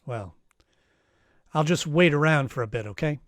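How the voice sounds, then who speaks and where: A man's voice: fairly high pitch, moderate pace, a bit bright, no room sound, lightly acted. A man playing an unflappable, wisecracking private detective who also narrates in a first-person noir radio style. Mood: wry, unflappable. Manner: dry, wisecracking.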